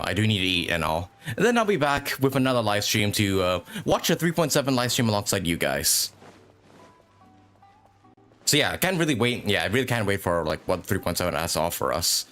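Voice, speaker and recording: A man's voice: fairly low pitch, a bit bright. A man hosting a game livestream in casual, chatty, rambling talk, getting over a lingering cough. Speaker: game streamer host.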